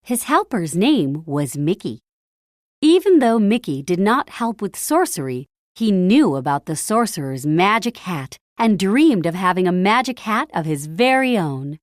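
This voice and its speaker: A woman narrating a children's storybook in an expressive read-aloud style, with deliberate, dramatic and emphatic phrasing. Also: mid-range voice, no echo, studio quality.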